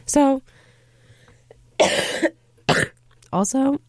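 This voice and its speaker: A woman's voice: high-pitched, drawn-out words, no room sound. A woman hosting a college radio show from a studio, talking casually with many fillers while congested from a cold. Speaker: radio host. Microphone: studio microphone.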